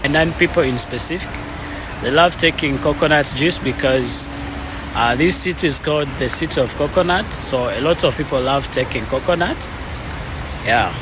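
A man's voice: fairly high pitch, normal speed, background noise. A young man from Rwanda, chatting casually as a vlogger while filming himself on a phone in city streets. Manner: relaxed, chatty, mixes English with simple Mandarin. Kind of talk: casual vlog narration and street chat. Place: city streets, a drink shop and a plaza at night. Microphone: phone at arm's length.

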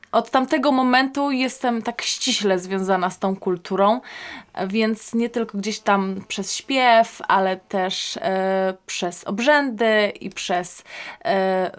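Young woman talking about her own memories, really unhurried and careful. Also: medium pitch. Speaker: folk singer recounting her experience. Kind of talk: personal reminiscence, interview-like.

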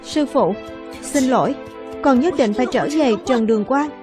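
A woman dubs an animated fantasy for children, taking each character's lines herself. Her voice sits on the high side. Music plays clearly behind her. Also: moderate pace. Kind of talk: dubbed narration of a children's animated fantasy, voicing every character.

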